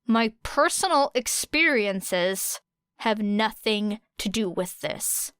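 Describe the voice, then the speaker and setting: A defiant, sarcastic young woman, as an audiobook reader plays her, prickly and curt. The delivery is slow and scoffing, in a dry, close recording. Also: medium pitch, expressive, fairly bright tone, smooth, dry recording. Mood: scoffing, irritated. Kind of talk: audiobook character voice.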